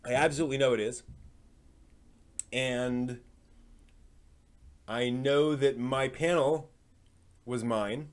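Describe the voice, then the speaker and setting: Male live stream host, mid-pitched, conversational, halting delivery as he works through his thoughts and commentary. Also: steady tone.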